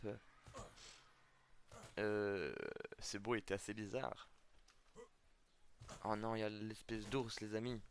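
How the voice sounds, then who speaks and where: A man with a somewhat low voice and a slight cold talks viewers through his game, chatty and halting, with plenty of fillers. Faint mouse and keyboard clicks sit under his voice. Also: level tone, a little hiss or hum. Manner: chatty, addresses viewers as friends, hesitant with many fillers. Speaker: gameplay video host. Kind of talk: casual let's-play commentary to viewers.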